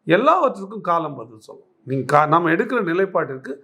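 A man speaks in a notably high-pitched voice. He comments on politics as an interviewee, pressing his case with emphatic repetition and pointed rhetorical questions. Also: very animated, fast, bright, forceful tone. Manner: argumentative, emphatic, repeats phrases and poses rhetorical questions.

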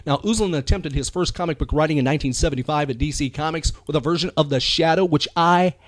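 A man's voice: fairly high pitch, fast talker, no echo. A man hosting a podcast in a casual, rambling, enthusiastic chat about pop culture. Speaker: podcast host.

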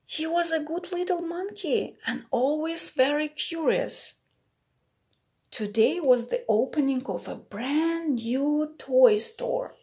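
A middle-aged woman reads a children's storybook aloud at an unhurried pace. Her voice sits fairly high and has a noticeable creak. She pauses to explain simple words and ask the young listeners questions. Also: animated, a little mellow. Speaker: children's teacher reading aloud. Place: small plain room indoors.

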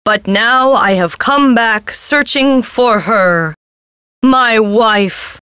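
An actor playing the mournful ghost of a gentleman in a video game, solemn and theatrical. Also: extremely high pitch, very slow, clear, resonant tone, no echo, studio quality, heavily acted. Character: mournful ghost of a Spanish gentleman seeking his wife.

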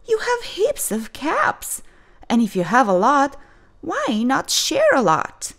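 A woman's voice: sits high, huge pitch swings, slow pace, a bit bright, voice pushed up, full character voice. A woman voicing a reasonable, reproving mother shark in a children's storybook.

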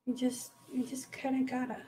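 Woman, Minnesota accent, high voice, casual live art demo, self-deprecating, noisy room recording. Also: completely flat delivery, normal speed, dark tone. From United States, Minnesota. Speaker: host, painter. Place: indoor art studio at a paint-covered worktable.

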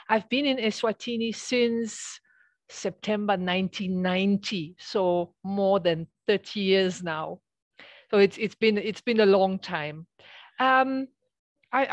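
A woman from Belgium gives an academic talk online as a guest professor. Her delivery is halting and conversational, at a middling pitch, picked up dry and close with very little room sound. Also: dry recording. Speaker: associate professor, guest speaker. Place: small office with bookshelves, indoors. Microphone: headset on a video call.

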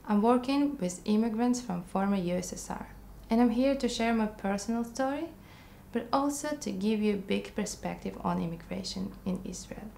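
A woman of twenty-five with a Russian accent, speaking Russian as her first language, telling her personal story to camera in an earnest, reflective and slightly halting way, recorded in a bright studio. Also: mid-range voice, lively intonation, vocal fry, slight background noise. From Kazakhstan. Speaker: immigrant counselor.

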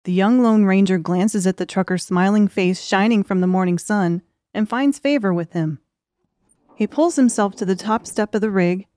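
Female audiobook narrator: medium pitch, scripted storytelling, dry studio-like sound. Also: a little mellow, no room sound.

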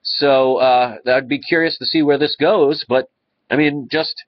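A man's voice: mid-range voice, fairly even intonation, measured pace. A man in his thirties with a stuffy, congested nose, talking earnestly to a webcam in his living room. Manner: earnest, rambling with restarts. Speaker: vlogger. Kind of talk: casual talk to camera. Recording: slightly dull lo-fi webcam audio.

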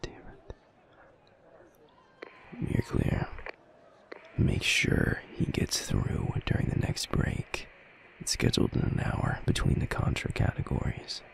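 A man playing a terse, commanding head of security in an audio drama, with a gruff, gravelly voice. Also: takes it slowly, long pauses, whispered, lightly acted. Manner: terse, businesslike.

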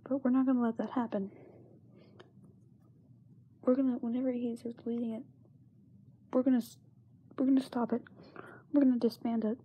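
A young woman playing a frightened, self-doubting young singer in a halting diary monologue, often dropping to a whisper. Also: on the high side, flat delivery, dull audio, close to an everyday voice. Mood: weary, downcast. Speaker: amateur voice actor. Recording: noisy makeshift amateur microphone recording.

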